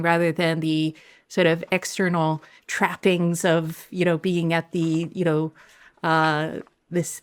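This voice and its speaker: A middle-aged woman hosting an interview podcast, who speaks reflectively and often pauses to search for words. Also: lowish voice. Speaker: podcast host.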